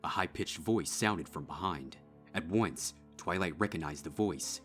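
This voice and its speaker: Very deep-voiced man narrating horror. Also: a little slow, a bit bright, breathy edge. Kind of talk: dramatic scripted horror story narration. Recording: music behind.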